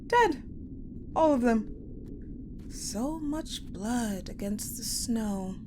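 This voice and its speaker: A woman playing a sadistic, ice-powered female general in an audio roleplay, coldly menacing and controlling, deliberate and taunting, often dropping to a whisper. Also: fairly high pitch, animated, background noise. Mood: cold amusement. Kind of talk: dramatic audio roleplay acting.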